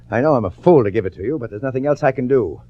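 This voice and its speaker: An American actor playing a smooth, ambitious, ruthless prosecutor in a radio suspense drama, urgent, commanding and calculating. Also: male voice, medium pitch, expressive, fairly quick, no echo, lightly acted. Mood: tense, calculating. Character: scheming, smooth, ambitious prosecutor, outwardly friendly, privately ruthless. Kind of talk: 1940s radio acting, with dramatic first-person narration. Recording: dull old-time radio recording.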